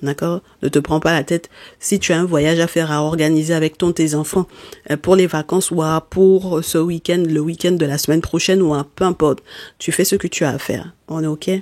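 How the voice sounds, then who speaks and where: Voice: mid-range voice, steady tone, fairly quick, a little mellow, no room sound. A tarot card reader who is not a native speaker of the language. They talk straight to viewers in an emphatic, scolding way, often stopping to check in with "okay?". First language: not French. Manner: speaks directly to listeners with terms of endearment. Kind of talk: spoken tarot reading addressed to viewers.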